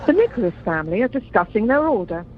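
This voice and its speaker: A woman acts as storyteller for an amateur radio comedy, reading the scripted action. Her mid-pitched voice is lively in intonation, on a dull conference line with faint noise. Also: quick pace, natural voice. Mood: descriptive. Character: female narrator describing the action. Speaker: narrator.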